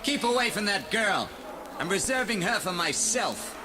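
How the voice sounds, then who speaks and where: A man's voice: high-pitched, very animated, normal speed, background noise. A man playing a sneering, threatening teenage bully in a dramatized storybook read-along, taunting and domineering. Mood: menacing.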